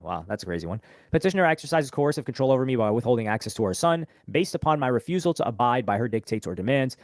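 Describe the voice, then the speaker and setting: Brisk man reading a filing aloud. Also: medium pitch, expressive, a little mellow, no echo. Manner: brisk, reads documents quickly then comments casually. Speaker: streamer reacting to court filing.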